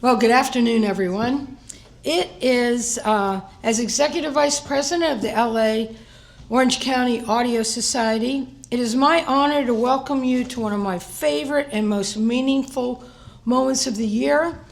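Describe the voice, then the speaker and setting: A woman giving an award presentation at a gala, hoarse and losing her voice, often clearing her throat. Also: on the high side, moderate pace. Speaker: society vice president presenting an award. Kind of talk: award ceremony speech.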